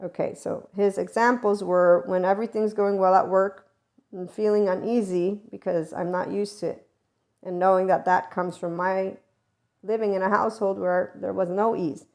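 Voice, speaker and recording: A middle-aged woman hosting a spiritual self-help podcast, talking in a rambling, emphatic monologue that often swings in loudness. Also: medium pitch, steady tone, moderate pace. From America and Italy. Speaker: podcast host.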